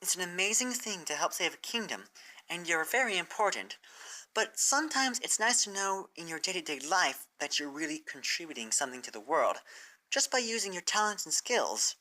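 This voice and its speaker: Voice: mid-range voice, sing-song, a little fast, pushed and bright, pitched above the performer's own voice. A performer voicing a caring young female pony mentor who speaks in an earnest, coaxing way. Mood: persuasive.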